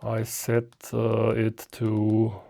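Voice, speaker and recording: Man, German speaker, unhurried pace, mellow tone, casual hands-on demo, thinks aloud. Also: on the low side, completely flat delivery, creaky. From Austria. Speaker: ham radio reviewer. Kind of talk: casual unscripted hands-on gadget demonstration.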